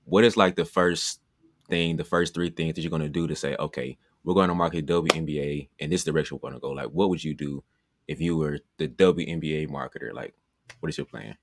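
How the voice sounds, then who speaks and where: A man's voice: rumbling low, steady tone, fairly quick. A man hosting a podcast interview, asking rambling questions with frequent fillers. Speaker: podcast host, basketball trainer.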